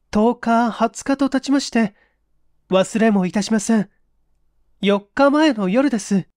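Woman acting a high-voiced, hesitant polite young lady. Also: a little mellow, no echo, studio quality. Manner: formal, deferential, hesitant speech. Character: distressed, hesitant, polite young office-working woman confessing a secret. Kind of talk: dramatized audiobook reading.

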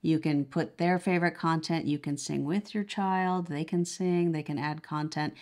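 A woman's voice: low-pitched, fairly even intonation, normal speed, a little mellow. A woman reviewing a product casually, explaining step by step in a conversational way. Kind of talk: casual unboxing and demonstration.

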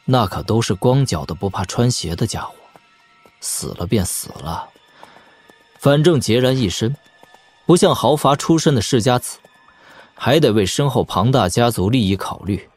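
An actor playing a shrewd, sardonic young lord in a martial-arts audio drama, expounding wryly at length. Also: male voice, mid-range voice, lively intonation, moderate pace, darkish tone, close to an everyday voice. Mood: scornful, wry. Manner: wry, expounding at length, with snorts and sighs. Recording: music behind. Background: background music.

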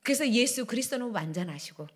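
A middle-aged woman pastor preaching a sermon at a church pulpit, swinging between loud declarations and hushed asides. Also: on the high side, expressive, quick pace, slightly rough. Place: church sanctuary, standing at pulpit. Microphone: pulpit microphones.

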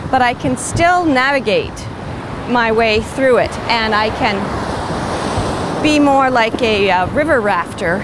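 A woman's voice: on the high side, expressive, very noisy. Reflective woman talking on a beach walk. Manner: reflective, chatty, many pauses and fillers. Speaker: vlog host. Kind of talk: casual walking monologue. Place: outdoors on a sandy beach.

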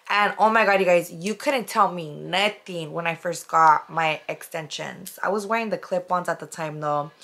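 A young woman vlogging casually to the camera from her bedroom, her voice a little hoarse and raspy, talking loosely with plenty of "like" and "um". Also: medium pitch, fairly bright tone. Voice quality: chatty, lots of fillers like "like" and "um". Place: bedroom, indoors.

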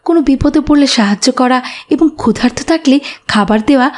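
A woman playing a caring, devout mother who speaks calmly and instructively in a cartoon. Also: high-pitched, fast talker, lightly acted. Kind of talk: Islamic cartoon dub acting.

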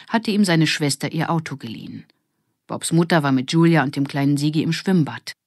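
Female narrator for children's audiobook, low register, very dry studio sound. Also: a little mellow, no echo.

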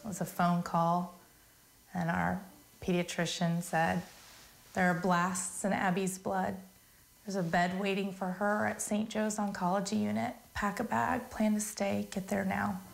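A woman's voice: mid-range voice, level tone, a little slow, gravelly. A middle-aged American woman telling a personal story conversationally in an office interview. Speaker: interviewee, nonprofit founder. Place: small office. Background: faint music.

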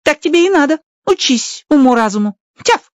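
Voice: high-pitched, big pitch swings, normal speed, dry recording, studio quality, voice pushed up. A storybook narrator voicing a stern mother fox who yaps and admonishes. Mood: alarmed, scolding. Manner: yapping, admonishing.

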